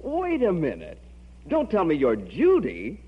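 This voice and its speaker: An actor playing a charming, long-absent uncle in an old radio comedy, easygoing and amused. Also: male voice, on the high side, huge pitch swings, unhurried, husky, exaggerated voice. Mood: amused, friendly. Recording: muffled old radio recording.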